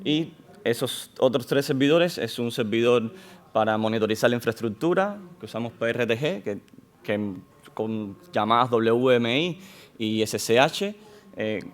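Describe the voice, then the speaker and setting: A man with a foreign accent giving a measured technical talk at a conference, pausing often with fillers and restarting his sentences. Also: medium pitch, animated. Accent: non-Spanish accent in Spanish. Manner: measured, with frequent hesitations and restarts. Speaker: conference speaker. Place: conference hall.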